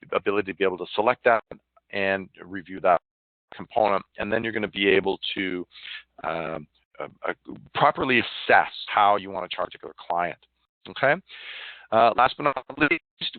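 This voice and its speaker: A man with a fairly low voice walks listeners through an online software demo. He explains informally and haltingly, and the sound is thin and phone-like, with no room around it. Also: dry recording. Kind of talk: live online product demonstration, walkthrough. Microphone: phone or web conference call. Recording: narrow phone-like line.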